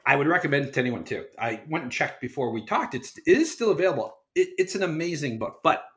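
A man's voice: a little high, very animated, fast talker, a bit bright. A man reading an essay aloud as a podcast guest, in an earnest, emphatic way. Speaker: guest reader.

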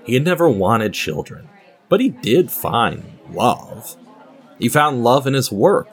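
A male narrator reads a scripted dramatic tale. His voice sits a little low, but it moves up and down in pitch with lively expression. Soft music plays behind the narration. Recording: music under the voice.

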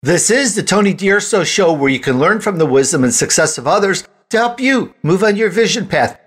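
A man's voice: high voice, expressive, brisk, husky. A man hosting a podcast in a chatty salesman's delivery, throwing in rhetorical questions. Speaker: podcast host. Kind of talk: interview hosting and scripted ad reading.

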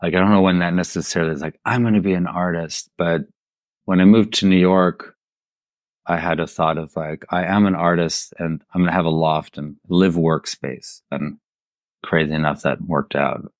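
Low-voiced man from Germany, casual storyteller. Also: steady tone, moderate pace, no echo. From raised in southern Germany. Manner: informal, quotes imagined dialogue as he tells stories. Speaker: installation artist, podcast guest.

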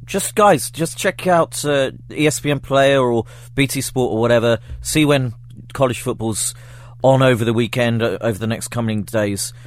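A man co-hosting a casual sports podcast, halting and searching for his words. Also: medium pitch, no echo. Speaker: podcast co-host.

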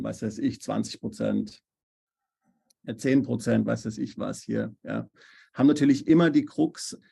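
A man's voice: mid-range voice, creaky, a little mellow, husky. A man advising on taxes in a podcast interview, explaining steadily with frequent fillers and checking "ja?" questions. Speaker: tax advisor. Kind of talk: expert podcast interview answers. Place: based in Italy.

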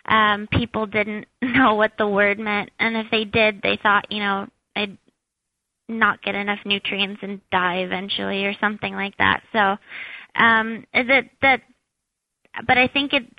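A woman with a squeaky high voice, a shop owner chatting as an interview guest, with lots of fillers. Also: vocal fry, no room sound, narrow, tinny audio. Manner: chatty, many fillers, laughs at herself. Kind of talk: casual interview answers.

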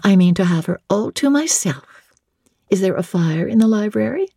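A woman's voice: medium pitch, animated, moderate pace, gravelly, dark tone, slightly rough, dry recording, studio quality, voice pushed up. A woman voicing a haughty, wilful young aristocratic lady in an audiobook, commanding everyone around her. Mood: imperious, impatient.

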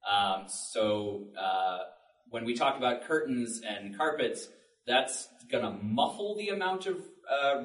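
A man's voice: mid-range voice, fairly bright tone. A man on a panel explaining things informally in plain words. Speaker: panelist, audio drama maker. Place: public library panel room. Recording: echoey room, distant mic.

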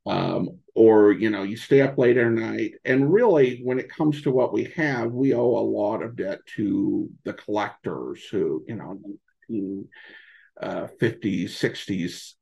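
An American man answering as a podcast guest, conversational and halting as he thinks aloud, on dull lo-fi audio. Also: lowish voice, gravelly, warm tone. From United States, rural Montana in early childhood. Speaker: podcast guest, old-time radio podcaster.

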